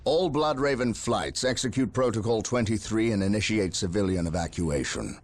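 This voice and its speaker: An actor playing a stern, resolute space marine captain in a video game, gravelly and formal, giving solemn commands. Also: male voice, on the low side, normal speed, lightly acted. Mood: stern, commanding. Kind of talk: dramatic video game acting. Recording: music behind the voice.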